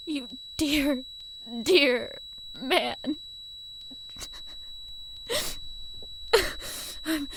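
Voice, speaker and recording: Woman acting earnest young granddaughter in a radio play, high voice, slow n careful, noisy recording. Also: full character voice. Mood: grieving. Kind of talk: audio drama acting.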